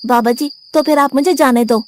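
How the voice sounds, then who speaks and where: A woman's voice: sits high, moderate pace, noisy background, lightly acted. A woman voicing a stubborn, hungry young disciple. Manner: blunt, indignant, complaining. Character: naive, stubborn young male disciple who loves food. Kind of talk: Hindi cartoon story dubbing.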